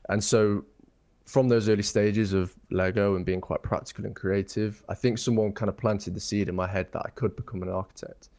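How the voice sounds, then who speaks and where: A young man from England with a fairly low voice, speaking as a guest on an interview show. He talks in a loose, thoughtful way, full of ums and false starts as he circles back on his words. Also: steady tone, fairly quick, a little mellow. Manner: thoughtful, rambling, many ums and restarts. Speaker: guest architect. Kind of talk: casual interview chat.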